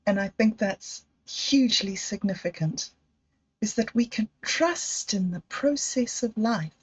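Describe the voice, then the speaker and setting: A middle-aged woman hosting a livestream, telling personal stories in a slow, thoughtful, reflective way. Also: mid-range voice, lively intonation, slightly rough. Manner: slow, thoughtful storytelling with emotional quotes. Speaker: livestream host. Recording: dull lo-fi livestream audio.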